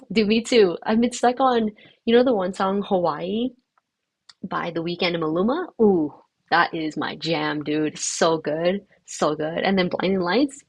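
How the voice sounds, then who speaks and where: A young woman who grew up speaking Vietnamese chats casually with her livestream viewers. Her voice is low-pitched, gravelly and husky, recorded dry and close. She talks in an upbeat way with lots of fillers. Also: dry recording. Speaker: livestream host.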